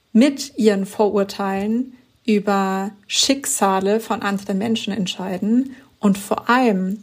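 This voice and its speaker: A young woman speaks with earnest emphasis as an author in a podcast interview. Her voice sits at a medium pitch, and she often rushes through phrases. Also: a little mellow. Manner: earnest, emphatic, quick explaining. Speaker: author guest.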